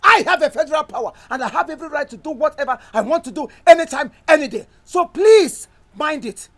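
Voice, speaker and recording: A high-pitched, lively-voiced bully of a councillor, played by a man. He is pompous and domineering, barking orders and sneering at anyone who questions his power. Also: fairly quick. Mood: contemptuous. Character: arrogant bullying male councillor, middle-aged. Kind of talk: Nollywood drama acting.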